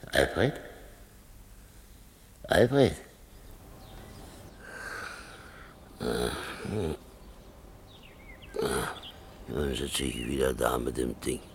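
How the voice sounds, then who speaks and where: An actor playing a stubborn, lonely old man in a German radio play, halting, repetitive and pleading. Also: a bass voice, expressive, vocal fry, a bit bright, slight background noise, heavily acted. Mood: brooding. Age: older.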